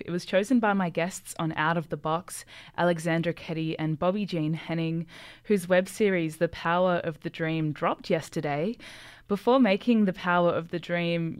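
A woman hosting a radio chat show, her voice smooth, resonant and set a little low. She steers the talk with friendly questions. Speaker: radio host. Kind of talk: radio interview.

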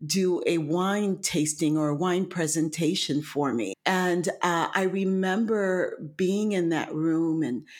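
Low-voiced woman from Haiti. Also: slow, few pauses. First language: Haitian Creole and French. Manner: reflective, many fillers and restarts. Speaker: guest. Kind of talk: storytelling interview.

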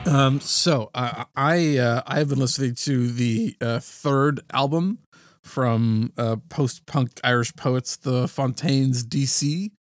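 A man's voice: medium pitch, slow, no room sound. A man co-hosting a casual music podcast. He speaks hesitantly and fills his talk with "uh" and "like". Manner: hesitant, lots of fillers like "uh" and "like". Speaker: podcast co-host.

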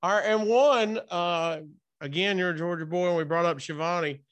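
High, bright-voiced male radio interviewer. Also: moderate pace, smooth. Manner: informal, jokey. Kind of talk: casual interview chat.

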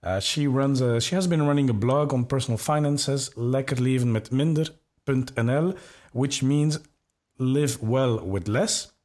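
A man's voice: mid-range voice, measured pace, a little mellow. A man from Belgium, hosting a podcast interview, friendly, with many fillers and self-corrections. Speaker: podcast host.

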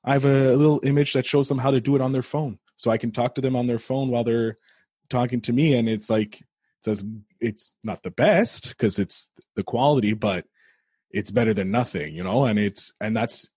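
A man's voice: medium pitch, normal speed, darkish tone, slightly rough, no room sound, clean studio sound. A man hosting a podcast and chatting with a guest in an easygoing, agreeable way. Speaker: podcast host. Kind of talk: casual interview chat.

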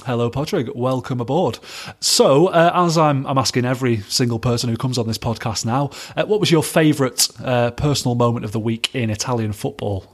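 A man's voice: mid-range voice, fairly quick, hardly any pauses. A man hosting a football podcast, interviewing guests in a friendly, easygoing way. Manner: friendly, laughs often, asks questions. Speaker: podcast host.

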